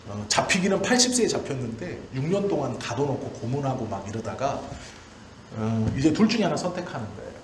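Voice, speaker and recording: A man in his forties delivers a sermon as a pastor, at a middling pitch. He builds his points in short, emphatic, repeated phrases. The room echoes noticeably around his voice, with faint background noise. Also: very animated, a little fast. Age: 40s. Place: church hall during a service. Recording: roomy church echo, faint background noise.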